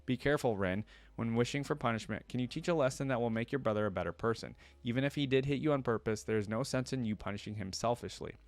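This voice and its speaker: A man's voice: mid-range voice, fast, dry recording, close to an everyday voice. A man reading the storybook dialogue of a wise, patient village aunt teaching a child. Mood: gentle admonishing. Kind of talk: storybook dialogue read aloud.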